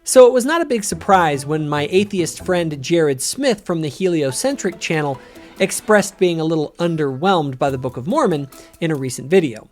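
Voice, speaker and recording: Higher-pitched man hosting a casual talk show. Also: lively intonation, normal speed, soft background music. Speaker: channel host. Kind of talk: casual panel chat with a narrated intro.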